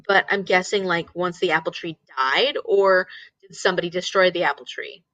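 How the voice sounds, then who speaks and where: A woman's voice: fairly low pitch, moderate pace, a bit bright, dry recording, rough recording. A woman hosting a casual live book discussion, thinking aloud and often posing questions. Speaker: teacher.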